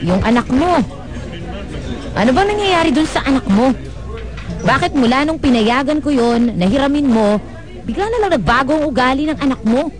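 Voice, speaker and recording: A woman's voice: high-pitched, expressive, moderate pace, pauses often, low-quality recording. A woman playing an earnest, troubled single mother in a radio drama, with an emotive, pleading delivery. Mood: reproachful. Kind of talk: Filipino radio drama acting. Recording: music bed under the voice.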